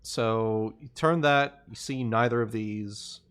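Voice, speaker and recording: Deep, very bright-voiced man gaming casually. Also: slow pace, smooth. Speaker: tabletop game player. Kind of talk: casual online game chat.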